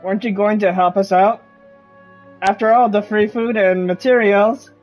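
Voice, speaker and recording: A woman's voice: medium pitch, fairly even intonation, normal speed, dull audio, faint music behind. A woman streaming a video game with casual commentary, reacting playfully to the story.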